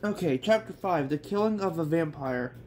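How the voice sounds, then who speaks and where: A man narrating an amateur read-along story in a halting, stop-start way. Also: fairly high pitch, lively intonation, darkish tone, slight background noise. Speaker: amateur author reading own manga aloud.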